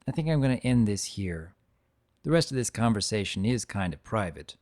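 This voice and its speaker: A man voicing an aged, gentle dragon in a dramatic reading, reflective and sentimental as he tells his own story. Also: on the low side, sing-song, normal speed, voice pushed down. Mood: tender, quiet. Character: aged dragon, gentle, nostalgic, fondly guarding keepsakes.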